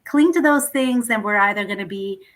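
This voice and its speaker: A woman from Ethiopia, a singer guest, speaking warmly and reflectively in a casual interview over a video call. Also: medium pitch, brisk. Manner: warm, reflective, laughs easily.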